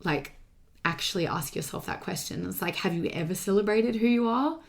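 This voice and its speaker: A woman's voice: medium pitch, fairly quick, creaky. A woman hosting a motivational solo podcast as a coach, speaking casually and emphatically, repeating key points, with her voice slightly strained from partly losing it.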